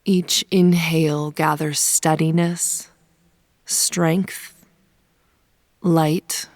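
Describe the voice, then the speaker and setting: A woman leading a guided yoga nidra meditation in a soft, soothing, unhurried manner, with phrase ends sometimes hushed. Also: low voice, flat delivery, dry recording, clean studio sound. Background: soft pink noise.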